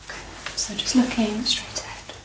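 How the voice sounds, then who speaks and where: A woman speaking softly, often dropping into a whisper, gently giving instructions as a doctor in an ASMR medical exam roleplay. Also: moderate pace. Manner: soft, partly whispered, gently instructing, reassuring. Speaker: performer playing a doctor giving an eye exam. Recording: roomy, distant mic, faint noise.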